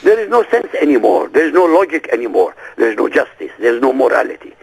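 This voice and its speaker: A man whose first language is Arabic, a guest on a radio interview, who talks forcefully and emphatically and keeps firing off rhetorical questions. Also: mid-range voice, very animated, fast talker, gravelly, breathy edge, low-quality recording.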